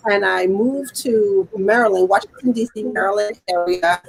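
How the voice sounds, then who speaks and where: A woman's voice: mid-range voice, normal speed, vocal fry, breathy edge, low-quality recording. A woman from the U.S. Virgin Islands chatting casually on a panel, laughing easily. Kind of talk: casual self-introduction.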